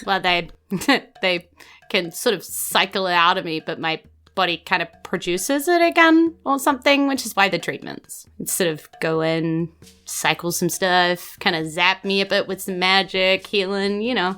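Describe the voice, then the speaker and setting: A woman with a New Zealand accent and a bright, forward voice runs a tabletop game. She talks in a casual, improvised way as game master. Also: on the low side, normal speed, clean tone.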